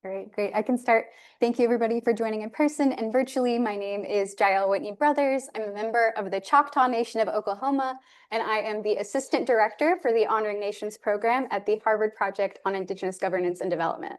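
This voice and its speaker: A woman panelist reading a formal land acknowledgment and introducing herself, in a measured, formal way. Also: medium pitch. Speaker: panelist, assistant director of a university program.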